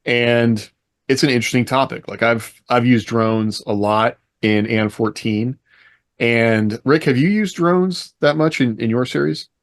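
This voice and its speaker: A man's voice: mid-range voice, a little slow, dry recording, studio quality. A man co-hosting a podcast, chatting casually, joking and steering the talk with questions. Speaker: podcast co-host and author. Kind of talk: casual panel discussion.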